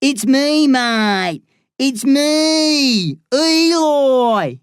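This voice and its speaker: A man's voice: extremely high pitch, lively intonation, very slow, dry recording, clean studio sound, pitched above the performer's own voice, exaggerated voice. A man doing a mocking gangster. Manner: swaggering, taunting, swears a lot. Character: volatile young male drug boss, menacing and mocking. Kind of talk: audiobook character voice.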